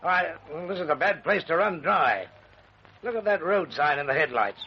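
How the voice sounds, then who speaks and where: High-voiced, deferential caretaker. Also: male voice, expressive, moderate pace. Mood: uneasy, apologetic. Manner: deferential, hesitant. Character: deferential male building caretaker, obliging and hesitant. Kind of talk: old-time radio drama acting. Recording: muffled, noisy vintage radio recording.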